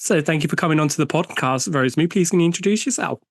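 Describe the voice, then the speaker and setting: British man hosting, higher-pitched, dry sound. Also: dry recording. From United Kingdom. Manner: friendly, slightly halting when improvising. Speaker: podcast host. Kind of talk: interview podcast hosting.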